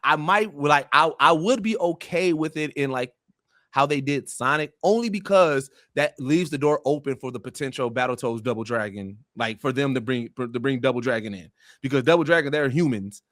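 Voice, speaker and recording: A man's voice: mid-range voice, normal speed, fairly bright tone, no echo, clean studio sound. A man on a podcast panel chatting casually, eagerly explaining his ideas in slangy speech. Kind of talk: casual chat.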